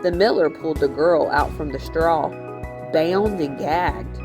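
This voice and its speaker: Slow-paced woman reading a story aloud. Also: low-pitched, over music. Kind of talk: expressive children's novel narration.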